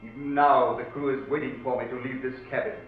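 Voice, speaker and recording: A man's voice: mid-range voice, little pitch movement, brisk, phone-quality sound, lightly acted. An actor playing a grave, bitter ghostly sea captain guarding his treasure, solemn and commanding in old-time horror radio style. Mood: bitter, grim. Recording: band-limited old radio, echoey, distant, music underneath.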